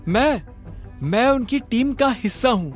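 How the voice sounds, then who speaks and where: A man voicing a commanding, sharp-tongued male bank manager in an audio drama. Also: very high-pitched, lively intonation, measured pace, soft background music, pitched above the performer's own voice. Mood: urgent, confiding. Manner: sharp, taunting, bossy.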